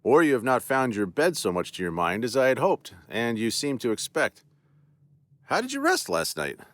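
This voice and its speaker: A man's voice: mid-range voice, huge pitch swings, normal speed, no echo, pitched above the performer's own voice. A man voicing a courteous young nobleman who plays host to a friend, with a genial, solicitous manner. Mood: inquiring.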